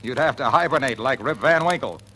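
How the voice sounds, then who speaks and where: An actor playing an excitable, long-winded pitchman with folksy, gushing sales patter in a 1940s radio advertisement. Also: brisk. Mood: boastful, enthusiastic. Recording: faintly noisy vintage radio recording.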